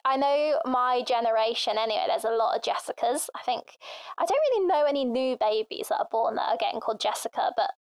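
Young woman, higher pitch, smooth clear tone, dry close sound, chatty filler-heavy talk. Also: a little fast, dry recording. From England. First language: Thai. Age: young adult. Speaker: interview guest. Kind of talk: casual personal interview.

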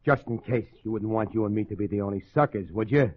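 An actor playing a terse, commanding, scheming crook in a 1940s radio suspense drama. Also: male voice, fairly low pitch, fast talker, lightly acted. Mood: cold, commanding. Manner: terse, commanding, tough-talking. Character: hard-boiled cold scheming male crook and husband. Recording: dull, noisy old radio recording.

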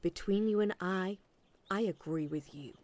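A woman's voice: mid-range voice, unhurried, a little mellow, no echo, close to an everyday voice. A woman acting in an audio play as an officious agent, politely relentless and salesy, with veiled sarcasm. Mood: coolly pointed.

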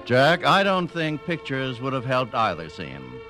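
Animated man narrating over music. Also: mid-range voice, unhurried. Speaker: radio documentary narrator. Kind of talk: scripted, reflective nostalgic narration.

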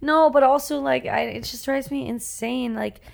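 A woman's voice: sits high, normal speed, gravelly. A woman co-hosting a podcast, chatting casually in a bubbly, rambling way. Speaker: podcast co-host. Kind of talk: casual chat.

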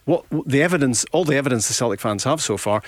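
A man as a football pundit, building a quick, analytical argument in a studio discussion. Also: medium pitch, animated, no room sound.

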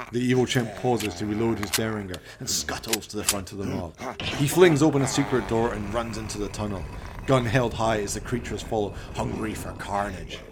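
A man's voice: lowish voice, background noise. A man narrating a comic horror radio play in a melodramatic, ominous storytelling manner.

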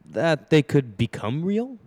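A man's voice: a little high, sing-song, slow pace, darkish tone. A man playing a stern, exasperated father of two in an old-time radio drama, speaking emphatically. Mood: uneasy.

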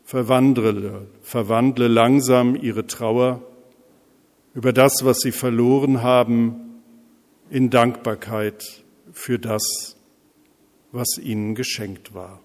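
A man leading intercessory prayer as a pastor, speaking solemnly in measured, deliberate phrases with pauses. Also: mid-range voice, fairly even intonation, darkish tone. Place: large reverberant church interior. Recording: echoey church hall, long reverb.

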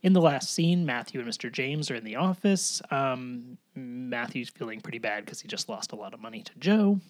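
A man's voice: mid-range voice, lively intonation, moderate pace. A man co-hosting a podcast, playfully recapping episodes and cracking jokes in a casual chat. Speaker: podcast co-host.

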